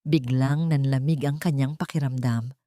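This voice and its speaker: A woman's voice: rumbling low, fairly even intonation, moderate pace, dark tone. A woman reading a scripted story as narrator, with an earnest, dramatic delivery.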